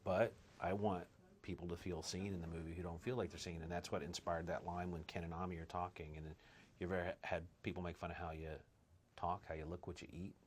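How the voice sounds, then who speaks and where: A man's voice: deep voice, level tone, a little fast. A man, a film director, talking in a casual interview with enthusiasm and frequent restarts. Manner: enthusiastic, restarts phrases often.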